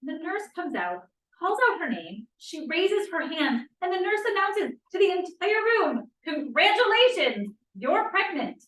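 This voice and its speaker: A woman's voice: very high-pitched, big pitch swings, a little slow, hardly any pauses, a bit bright, heavily acted. A woman acting an anxious, candid young college feminist in a stage play table read. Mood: embarrassed, dramatic. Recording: echoey room, distant mic.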